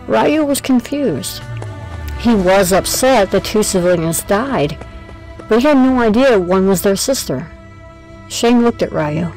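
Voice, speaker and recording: A woman's voice: mid-range voice, animated, unhurried, a little mellow, soft background music, rough recording. A woman narrating a scripted audio drama as its storyteller.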